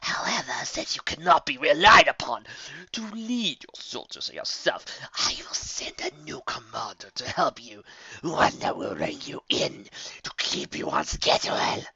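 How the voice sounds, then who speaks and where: A man voicing a sinister, imperious dark spirit in a fantasy story, in a slow, breathy, hissing whisper. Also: mid-range voice, big pitch swings, lo-fi sound, heavily acted. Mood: quiet menace. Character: sinister disembodied dark lord, hissing, condescending, menacing. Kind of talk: audiobook fantasy villain.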